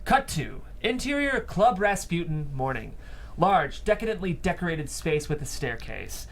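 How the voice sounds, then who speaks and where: A man's voice: fairly high pitch, very animated, a little slow, slight background noise. A man reading scripted stage directions as the narrator of a table read.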